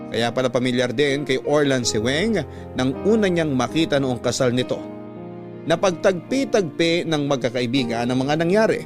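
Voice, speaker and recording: A Filipino man narrates a radio drama story from a script in the first person. His voice is mid-pitched, with prominent instrumental music underneath.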